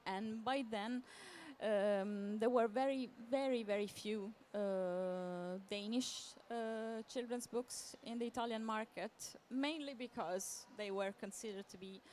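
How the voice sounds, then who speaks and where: Hesitant, slow-paced woman giving a talk. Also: fairly high pitch, a little mellow. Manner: hesitant, halting delivery with repeated words. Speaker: literary translator, panel speaker. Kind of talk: conference presentation, semi-improvised. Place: live talk at a book fair event. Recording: clear background noise.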